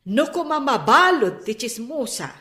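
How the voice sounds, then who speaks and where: A sharp-tongued village woman who teases and scolds in a radio drama. She swoops in pitch and takes her time, in a roomy recording. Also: big pitch swings, unhurried, exaggerated voice. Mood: teasing, scolding.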